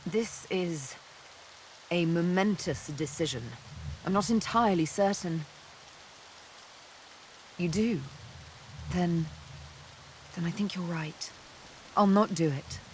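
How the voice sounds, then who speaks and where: Low, slow, sultry elf assassin. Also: female voice, a little mellow, slight background noise. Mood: resolute. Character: vengeful, seductive female elf assassin, freed slave. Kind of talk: video game voice acting.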